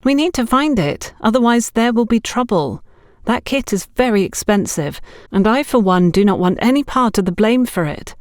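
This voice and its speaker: A woman's voice: on the high side, animated, normal speed, dry recording, voice pushed up, natural voice. A female narrator plays an anxious young woman colleague, careful and worried. The voice is lifted a little above the narration, recorded dry for an audiobook.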